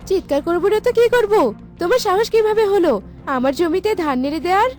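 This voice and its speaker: A woman voicing a haughty, sharp-tongued young village wife in a cartoon, scolding and bossy. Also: extremely high pitch, lively intonation, fast. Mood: angry, scolding. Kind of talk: cartoon voice acting. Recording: music behind the voice.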